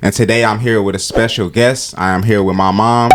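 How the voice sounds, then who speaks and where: A man's voice: fairly low pitch, fairly even intonation, brisk, noisy background. A young American man from Cleveland, hosting a casual interview. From Cleveland, USA. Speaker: interview host.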